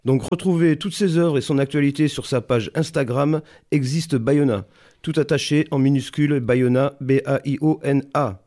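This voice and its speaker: A man's voice: mid-range voice, normal speed, warm tone. A man hosting a podcast interview, prompting his guest and finishing his thoughts. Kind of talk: interview questions.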